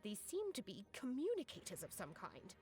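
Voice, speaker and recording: Villain's science minion in a game, earnest n precise, bouncy intonation, music playing under. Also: female voice, medium pitch, huge pitch swings, normal speed, mellow. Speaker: video game voice actor.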